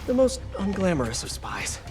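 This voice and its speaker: Over prominent music, a young American video game vigilante with a fairly high voice and lively, swinging intonation. He is earnest and quippy, talking half to himself as he works. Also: normal speed. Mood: anxious, concentrated. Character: earnest, wisecracking young male masked vigilante hero. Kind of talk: naturalistic video game acting.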